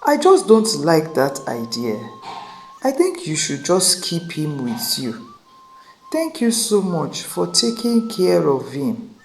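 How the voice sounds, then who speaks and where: A woman's voice: fairly low pitch, very animated, slow pace, vocal fry, darkish tone, faint music behind, heavily acted. Woman acting a firm, pleading elderly grandmother. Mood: firm, disapproving. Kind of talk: acted folktale dialogue.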